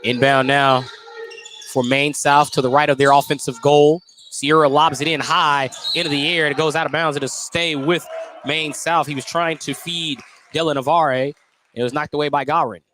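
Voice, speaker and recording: Higher-pitched man calling basketball play-by-play, noisy gym. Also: fairly even intonation, normal speed, a bit bright. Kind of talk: live radio sports commentary. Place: indoor gymnasium. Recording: noisy live gym broadcast. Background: gym noise, bouncing basketballs.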